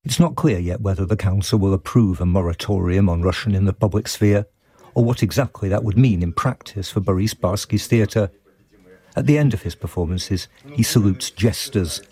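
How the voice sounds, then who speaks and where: Guy reading a radio news report, lowish voice, calm and measured. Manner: measured, descriptive. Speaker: foreign correspondent. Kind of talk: scripted news report narration.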